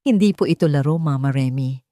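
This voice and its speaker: A woman voicing a principled young daughter-in-law in an audio drama, respectful but defiant, steady and earnest. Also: very deep voice, a little fast, dry recording, studio quality. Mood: steady resolve.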